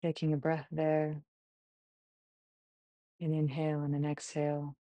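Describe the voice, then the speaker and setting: Slow, low-voiced woman guiding meditation. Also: monotone, no room sound. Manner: gentle instructing, with long pauses between short phrases. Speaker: meditation guide. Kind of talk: guided body scan meditation.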